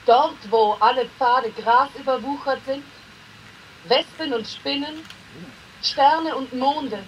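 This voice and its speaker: A woman with a high voice reads poetry aloud at a slow pace. Her phrasing is measured and deliberate, as in a literary reading, with space left between the short lines. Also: highs cut off, a little hiss or hum. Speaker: poetry reader.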